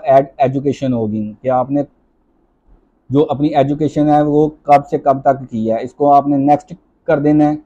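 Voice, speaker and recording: A man walks viewers step by step through an online job application, reading the on-screen items slowly. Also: on the high side, warm tone, dull audio. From Pakistan. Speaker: job-application tutorial host. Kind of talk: screen-recorded walkthrough. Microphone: voice-over over screen recording.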